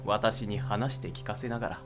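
A man playing a calm, courteous, enigmatic figure in an audio drama, speaking in measured, fatalistic phrases. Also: fairly low pitch, over loud music, lightly acted. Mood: solemn, mysterious. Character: calm, enigmatic, courteous man who knows ancient secrets.

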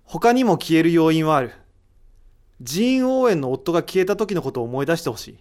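A man playing a thoughtful, analytical character in a voice drama, deliberate and explanatory, posing rhetorical questions. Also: a little high, very animated, darkish tone. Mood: explaining, intent. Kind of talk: amateur voice drama acting.

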